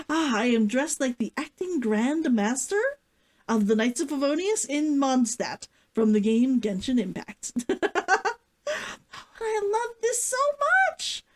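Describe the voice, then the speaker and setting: A woman hosting a read-along stream, talking to viewers and reading a story as an excitable vtuber. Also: high-pitched, slow pace. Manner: giddy, giggly, gushing and repetitive while chatting.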